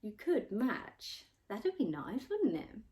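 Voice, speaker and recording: A woman voicing a firm, fond older nanny in a storybook, with a brisk, coaxing, gently teasing manner. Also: a little high, huge pitch swings, voice pushed up, exaggerated voice.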